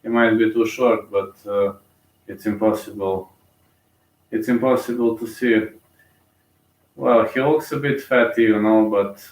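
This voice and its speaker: Rambling Bulgarian man, low and echoey. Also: distant mic. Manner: rambling, thinks aloud with many fillers and restarts. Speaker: live fight commentator. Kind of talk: casual live commentary.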